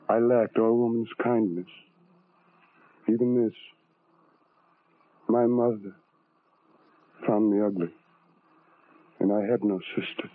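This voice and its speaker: An actor playing a tormented, bitter, self-loathing man in a theatrical, poetic old-time radio drama, on a tinny recording. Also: lowish voice. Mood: mournful, resigned.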